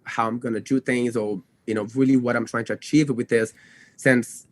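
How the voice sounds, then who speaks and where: A man's voice: mid-range voice, steady tone, moderate pace. A young man from Brazil, a native Portuguese speaker, telling his own story casually as a podcast guest, rambling and often correcting himself. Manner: rambling, self-correcting, frequent "you know" and "like". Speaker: podcast guest, dog trainer.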